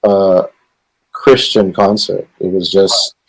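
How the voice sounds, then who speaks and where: Deep-voiced Guyanese man, rambling. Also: level tone, takes it slowly, low-quality recording. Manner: rambling with many fillers. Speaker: gospel singer guest. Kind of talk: casual interview answers.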